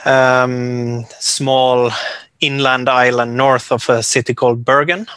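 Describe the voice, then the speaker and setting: Norwegian man in his forties, a composer guest; bright tone, hesitant delivery with frequent fillers, dry close sound. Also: mid-range voice, flat delivery, takes it slowly, clear, resonant tone, dry recording. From Norway. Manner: hesitant, many fillers and restarts. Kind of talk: casual interview talk.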